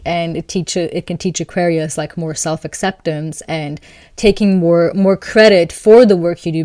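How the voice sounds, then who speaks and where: A woman hosting an astrology video, talking calmly and thoughtfully to camera, with soft laughs between thoughts. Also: lowish voice, dry recording, clean studio sound. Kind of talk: casual spoken reflection to camera.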